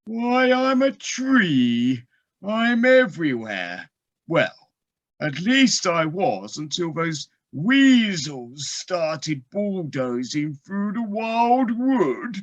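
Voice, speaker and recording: Woman playing a slow, encouraging tree spirit. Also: fairly low pitch, big pitch swings, dull audio, exaggerated voice. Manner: deliberate, exhorting. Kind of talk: amateur audio play acting.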